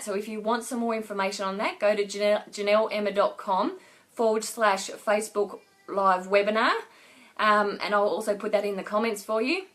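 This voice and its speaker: Woman, business coach on a live stream, chatty and rambling, slightly hoarse from a cough. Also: mid-range voice, fairly bright tone. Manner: rambling, many fillers and restarts. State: losing her voice with a cough. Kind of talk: casual Facebook Live talk to viewers.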